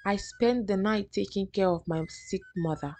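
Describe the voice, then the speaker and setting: A woman's voice: medium pitch, moderate pace, dark tone, lightly acted. A woman storyteller voicing a stubborn young wife in a folktale, insistent and defiant. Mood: pleading. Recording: music behind the voice.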